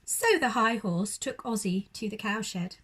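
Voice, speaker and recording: A woman's voice: medium pitch, lively intonation, unhurried, a bit bright, slightly rough. A woman reading a children's bedtime story expressively, like a primary school teacher addressing young children.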